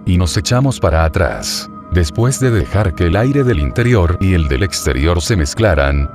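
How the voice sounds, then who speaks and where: Low-voiced man narrating horror over music. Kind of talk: scripted first-person horror narration. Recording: music bed under the voice. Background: background music.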